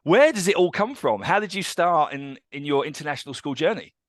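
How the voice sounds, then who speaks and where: A man hosting a casual podcast interview, chatty and halting with many restarts and fillers. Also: on the high side, expressive, no echo, studio quality. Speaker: podcast host.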